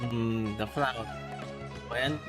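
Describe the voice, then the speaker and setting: A woman's voice: a bass voice, slow pace, over loud music. A woman live streamer giving casual, musing reactions while playing a video game. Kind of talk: casual gameplay commentary, murmured reactions.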